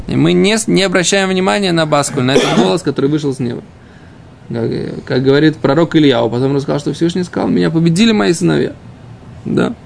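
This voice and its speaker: A man teaching an informal Talmud lesson, reading the text and explaining it, haltingly and with repeated phrases. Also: fairly high pitch, vocal fry, background noise.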